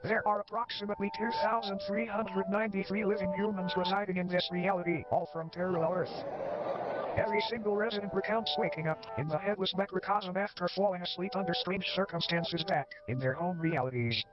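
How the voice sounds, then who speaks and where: A high-pitched man played by an actor narrates an analog horror compendium, eerie and formal with wry asides. His delivery is measured and scripted. The sound is dull and band-limited, with prominent music underneath. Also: steady tone, lightly acted.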